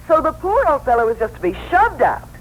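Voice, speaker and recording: A woman playing a deferential, soft-hearted young secretary in a radio drama, hesitant and pleading, with a husky voice. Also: high-pitched, big pitch swings, brisk, highs cut off. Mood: troubled, pleading. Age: young adult. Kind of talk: 1960s radio acting. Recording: old radio broadcast.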